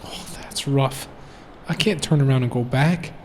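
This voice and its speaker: A man voicing a gruff, wisecracking bus driver in a school radio play. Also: medium pitch, a little slow, darkish tone, in a large hall, slight background noise, natural voice. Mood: wry. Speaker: teacher acting.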